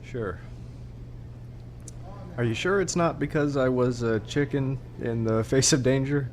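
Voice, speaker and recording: Audio play sidekick actor: hesitant, earnest male scientist, a little cowardly, average pitch, faint noise behind him. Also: measured pace, a little mellow, close to an everyday voice. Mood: hopeful, awkward. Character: nervous, earnest young male mycologist, a bit cowardly. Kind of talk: audio drama acting.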